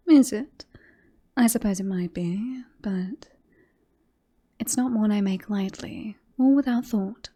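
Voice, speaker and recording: A woman playing a smug, romantic royal in an ASMR roleplay, teasing and sultry with breathy, whispery phrases. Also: mid-range voice, dark tone. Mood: sultry, earnest.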